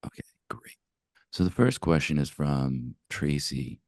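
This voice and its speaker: Hoarse, very deep-voiced man moderating. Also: lively intonation, a little mellow, no room sound, clean studio sound. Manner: brisk, reads out audience questions. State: hoarse from laryngitis.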